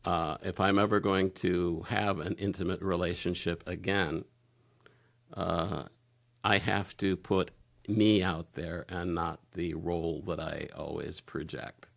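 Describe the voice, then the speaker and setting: A man speaking candidly as a podcast guest, halting and searching for his words. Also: sits low, level tone, creaky. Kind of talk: candid personal conversation.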